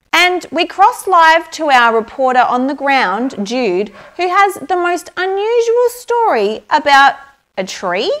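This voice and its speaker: A woman playing a polished news anchor in a mock newscast, with a crisp, formal newsreader delivery. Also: on the high side, fairly bright tone.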